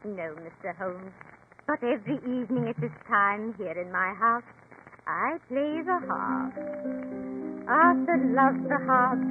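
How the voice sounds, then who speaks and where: A dotty wistful old lady, high voice that goes up and down, genteel, 1940s radio drama. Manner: fluttery, genteel, theatrical. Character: fragile, wistful, deluded elderly lady living in the past. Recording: tinny old radio broadcast, music behind.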